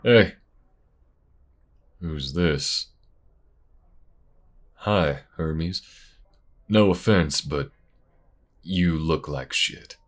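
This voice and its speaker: Blunt, good-natured desert workshop partner for audio roleplay male actor: rock-bottom pitch, slow, laid-back, plain-spoken. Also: exaggerated voice. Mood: blunt, surprised. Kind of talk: casual audio roleplay acting.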